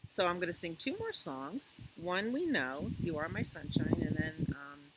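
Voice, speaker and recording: Slow-paced woman hosting a children's storytime. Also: low voice, big pitch swings, very noisy. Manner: gentle, conversational, addresses young children with questions. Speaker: library storytime host. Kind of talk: children's picture book read-aloud and chat.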